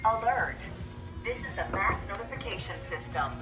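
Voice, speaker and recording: A woman's voice: medium pitch, expressive, normal speed. A woman giving a formal recorded emergency announcement as an alert system voice. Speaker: mass notification alert announcer. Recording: alert playback under very loud music.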